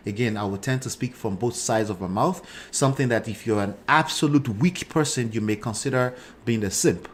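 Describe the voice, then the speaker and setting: A man's voice: medium pitch, fairly quick, few pauses, a little hiss or hum. A man in his forties with a Nigerian accent hosts a live show, giving casual commentary and often checking in with short tags like "right" and "okay". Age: 40s.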